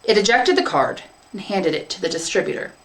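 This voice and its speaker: A woman's voice: medium pitch, animated, brisk, rough recording. A woman English teacher reading a short story aloud as scripted narration.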